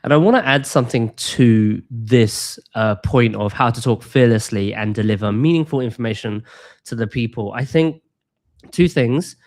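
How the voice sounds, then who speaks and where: A man's voice: medium pitch, moderate pace, darkish tone. A man co-hosting a live stream, listening attentively and then adding his own points.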